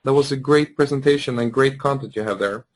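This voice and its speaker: Polite webinar host with a Swedish accent. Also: male voice, medium pitch, brisk. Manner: polite and courteous, with frequent "um" fillers. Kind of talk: closing a webinar.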